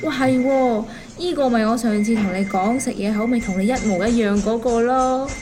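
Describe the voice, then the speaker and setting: An actress playing a chatty, teasing middle-aged noodle shop waitress in a radio drama. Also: female voice, on the high side, normal speed, over music, lightly acted. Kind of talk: Cantonese radio drama acting.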